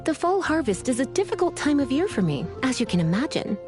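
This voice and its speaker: A woman's voice: sits high, very animated, fast, over music. An actress voicing a teasing, languid witch in a video game, flirtatious and theatrical. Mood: playfully spooky. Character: teasing, sultry witch librarian, playful and languid. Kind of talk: scripted video game voice lines.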